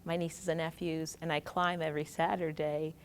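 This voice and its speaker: A woman's voice: low voice, level tone, normal speed, slight background noise. A woman in her twenties, a research assistant, playful and self-deprecating as she talks about her hobbies. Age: 20s. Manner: playful, self-deprecating, laughs while talking. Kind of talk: casual interview talk.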